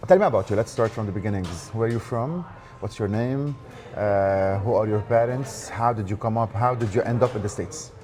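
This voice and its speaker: A man's voice: on the low side, moderate pace, hardly any pauses, a little mellow. A man hosting an interview, asking questions in a conversational way. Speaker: interviewer, host. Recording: faint background ambient noise.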